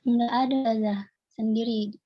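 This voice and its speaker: A woman's voice: fairly high pitch, steady tone, highs cut off. A woman student answering her teacher and reading Arabic aloud hesitantly in an online class. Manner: hesitant, slow, answers briefly.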